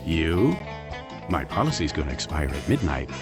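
Urgent cartoon customer, extremely low voice with huge pitch swings, music behind. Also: male voice, very animated, normal speed. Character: desperate hurried male insurance customer. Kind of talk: animated commercial acting.

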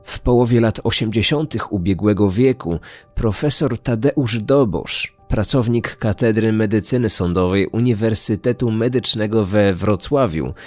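A man narrates a true-crime podcast in a low voice. His delivery is scripted, in documentary style, with faint music running underneath. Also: normal speed, a little mellow.

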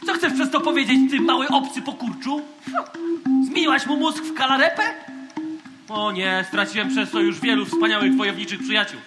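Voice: medium pitch, steady tone, moderate pace, over loud music, natural voice. An actor plays a distrustful young space warrior in a theatrical children's radio play. The delivery is confrontational and mock-dramatic, full of boastful accusation. Plucked strings play prominently along with the voice. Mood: indignant, mournful.

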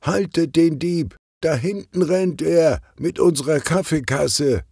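A man voicing an excited, puffing young male gym employee in a children's detective audiobook. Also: deep voice, a little slow, husky, no room sound, clean studio sound, exaggerated voice. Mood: alarmed. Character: agitated young male fitness club employee.

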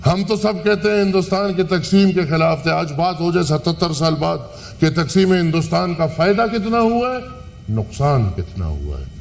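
A man giving an impassioned, rhetorical speech as a senator in a large parliament chamber, pausing at length between phrases. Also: on the high side, animated, gravelly, a little hiss or hum. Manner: impassioned, rhetorical, with long pauses. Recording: parliament broadcast with hall reverb.

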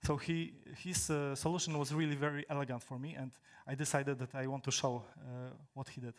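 Halting young man giving a talk. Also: medium pitch, little pitch movement. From Poland. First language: Polish. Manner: halting, many fillers and restarts. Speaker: conference speaker. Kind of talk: technical talk to an audience. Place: large hall with stage, indoors.

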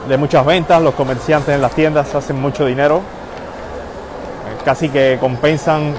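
A man's voice: a little high, fairly even intonation, unhurried. Man walking a busy mall on a phone livestream. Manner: greets viewers by name while walking. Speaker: livestream host. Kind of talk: live walking tour commentary. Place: busy indoor shopping mall. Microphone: handheld phone camera. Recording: phone live stream with loud mall noise. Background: crowd and mall ambience.

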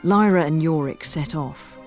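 A man reading scripted, storybook-like narration in a solemn, measured manner. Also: on the high side, over music.

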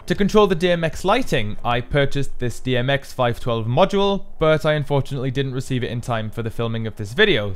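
A man presenting his own build in a scripted how-to narration. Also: mid-range voice.

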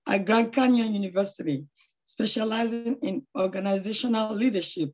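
A middle-aged woman with a hoarse voice, introducing a speaker on a video call with effusive praise and frequent prayers. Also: mid-range voice, moderate pace, a little mellow. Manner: devout, effusive, praying often. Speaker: charity founder introducing a speaker. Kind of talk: online lecture introduction. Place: small room at home. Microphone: laptop webcam on a video call.

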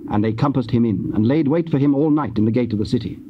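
A man giving a solemn, measured scripted narration of scripture. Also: mid-range voice. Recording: muffled, noisy old cassette transfer.

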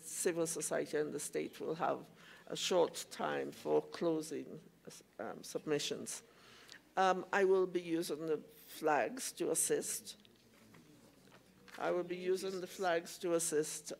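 A middle-aged woman chairing a formal hearing, speaking hesitantly with frequent pauses into a table microphone. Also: deep voice, vocal fry, a little mellow, slight background noise. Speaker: commissioner chairing a public hearing. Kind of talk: formal procedural remarks, halting. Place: conference hall hearing room. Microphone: gooseneck table microphone.

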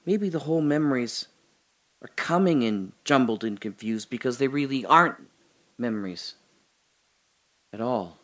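A man's voice: mid-range voice, lively intonation, moderate pace, long pauses, fairly bright tone, close to an everyday voice. An actor playing a man alone in a holding cell who talks through his doubts about his memories in an audio drama monologue, musing and questioning, turning from wry to earnest.

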